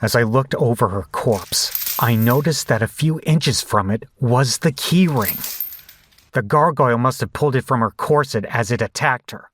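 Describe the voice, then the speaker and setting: A man's voice: medium pitch, expressive, moderate pace, a bit bright, no room sound. A man playing a shaken horror survivor, narrating a bloody escape in a grave, dramatic audio drama style. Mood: weary relief.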